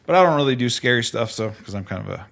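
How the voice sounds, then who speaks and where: A man co-hosting a casual livestream podcast, relaxed and self-deprecating. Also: medium pitch, rapid-fire, creaky, a crowd in the background. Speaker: podcast co-host.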